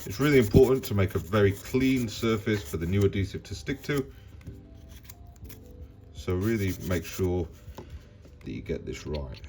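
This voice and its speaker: A man's voice: fairly low pitch, lively intonation, moderate pace, long pauses, darkish tone, faint music behind. A man hosting a repair tutorial, narrating step by step in a plain, practical, informal way.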